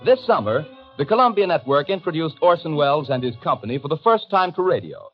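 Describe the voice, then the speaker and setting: A man's voice: fairly high pitch, very animated, quick pace, faint music behind. A man announcing a 1930s radio program in a formal, declamatory style. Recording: muffled old 1930s radio broadcast.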